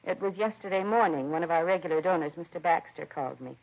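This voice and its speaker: A woman's voice: lowish voice, quick pace. An actress playing an earnest, indignant woman who runs a children's home in a 1950s radio drama, plain-spoken and heartfelt. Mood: earnest, concerned. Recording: dull vintage radio recording.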